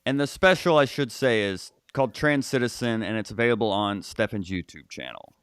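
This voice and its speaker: A man with a smooth, resonant voice at an ordinary pitch hosts a comedy podcast. He builds up his guest with eager, show-style introductions, recorded close and dry. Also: expressive, brisk, a bit bright, no room sound. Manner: enthusiastic, hype-building introductions. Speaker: podcast host. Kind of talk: casual podcast chat.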